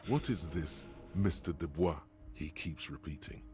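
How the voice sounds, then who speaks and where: A man's voice: rumbling low, measured pace, a little mellow, faint music behind. A man voicing the grave, taunting inner voice of a detective's mind in a video game.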